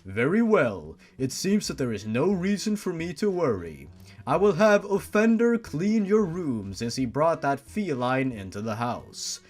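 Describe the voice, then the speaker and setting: A man's voice: on the high side, sing-song, measured pace, darkish tone. A man voicing a stern, formal, faceless creature who is a father, reading him aloud in a mocking comedy reading. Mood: calm stern. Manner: formal, commanding.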